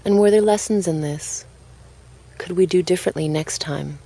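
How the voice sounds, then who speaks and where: A woman with a low-set voice narrates a guided relaxation. Her delivery is calm and gentle, moving without hurry and pausing between short phrases as in a scripted meditation. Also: breathy edge. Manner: calm, unhurried, gently spoken with pauses.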